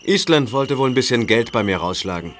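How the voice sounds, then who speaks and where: A man's voice: mid-range voice, animated, brisk, a bit bright, lightly acted. Man acting blunt animal keeper, noisy outdoors. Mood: dismissive. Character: Uncle Jim, blunt, practical adult animal keeper. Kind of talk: German audio drama dialogue.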